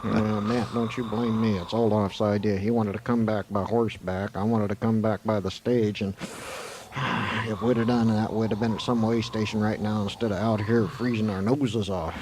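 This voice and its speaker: A man's voice: fairly low pitch, steady tone, normal speed, close to an everyday voice. A man playing a plain-spoken, folksy Old West doctor in a Western radio drama. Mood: grumbling. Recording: noisy, hissy 1980s amateur tape.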